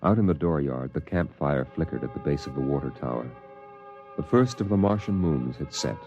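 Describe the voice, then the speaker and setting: Deep-voiced man narrating a radio drama. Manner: calm, measured storytelling. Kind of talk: scripted first-person narration. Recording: dull old radio recording with music.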